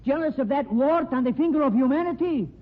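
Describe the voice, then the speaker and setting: An actor playing a vain, indignant sword swallower with an Italian accent and blustering broken English, in an old-time radio drama. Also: male voice, very high-pitched, expressive, normal speed. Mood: scornful bluster. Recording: thin, phone-like vintage radio recording.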